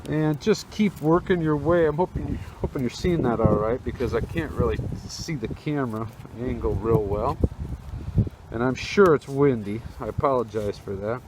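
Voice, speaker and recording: A man's voice: medium pitch, moderate pace. A man giving a casual, easygoing step-by-step tutorial through a face mask, recorded outdoors on a rooftop. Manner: informal, easygoing step-by-step talk. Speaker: host demonstrating a how-to restoration. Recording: noisy outdoor camera audio.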